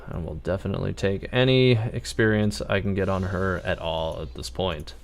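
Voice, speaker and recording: Low-voiced man chatting through a game stream. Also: normal speed, vocal fry. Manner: chatty, thinking aloud. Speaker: game livestreamer. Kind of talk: casual gameplay commentary. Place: indoors at a computer.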